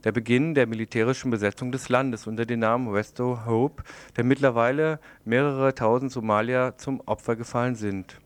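A man's voice: medium pitch, fairly even intonation, moderate pace, few pauses, clean tone, no room sound. A man presenting a radio news programme, reading announcements and reports in a studio.

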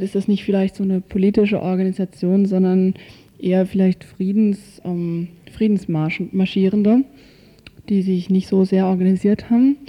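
Dull-sounding woman radio reporter. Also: medium pitch. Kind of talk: spoken consecutive translation and informal radio commentary.

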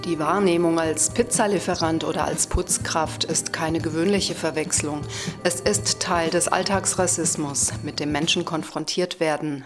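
A woman narrating a radio feature in a measured, composed scripted reading. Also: low-pitched. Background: music.

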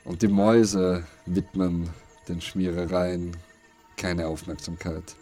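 Slow, deep-voiced male narrator. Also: flat delivery, dark tone. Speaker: radio play narrator. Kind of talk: scripted literary narration of a dystopian radio play. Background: prominent music behind the voice.

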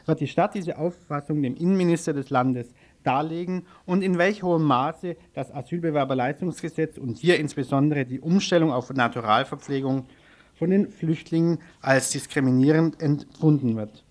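A man with a slightly high voice presents the news from a radio studio. He moves between reading out items and loosely improvised, somewhat hesitant links. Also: moderate pace, a little mellow. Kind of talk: live studio news overview, partly read out.

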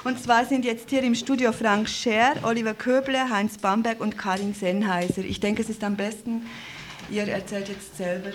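Female radio host, slightly high pitch, informal live moderation, faint noisy recording. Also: fairly even intonation, moderate pace. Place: radio studio.

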